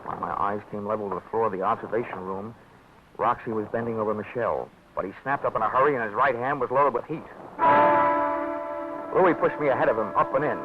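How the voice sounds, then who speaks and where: A man's voice: lowish voice, quick pace, lightly acted. An actor playing a wry insurance investigator who narrates his case in dry, wisecracking 1940s radio acting, on a tinny old radio broadcast with music.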